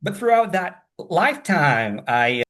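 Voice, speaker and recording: A man's voice: fairly high pitch, very animated, slow, a bit bright. A middle-aged man on a video call, talking about himself casually and enthusiastically, rambling and repeating himself as he goes. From born in Japan, grew up in several countries across Asia and the Middle East. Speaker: student and hospitality professional. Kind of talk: casual video-call interview, telling life story.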